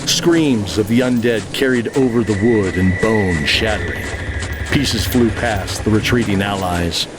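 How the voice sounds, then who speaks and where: A man's voice: on the low side, measured pace, background noise. A man narrating a fantasy audio drama in a scripted, dramatic storytelling style.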